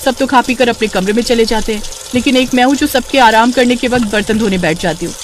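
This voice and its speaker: A lazy, defiant young daughter-in-law acted by a woman in a cartoon family tale. She grumbles and whines in a fairly high voice, with faint noise behind her. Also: fast, natural voice. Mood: grumbling. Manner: whiny, complaining. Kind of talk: Hindi cartoon family moral story.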